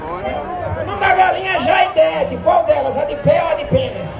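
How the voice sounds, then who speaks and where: A man with a very high voice announces a street chicken race, cracking jokes in loud, shouted mock-sports patter. Loud music and a dense crowd surround him outdoors, and the sound echoes. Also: animated, moderate pace, creaky. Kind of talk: joking live announcing over a crowd.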